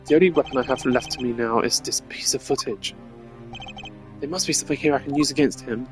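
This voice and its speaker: A man voicing a composed, determined prosecutor from a video game, speaking formally and in measured phrases. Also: medium pitch, over music, lightly acted. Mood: brooding, resolved. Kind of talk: video game dialogue acting.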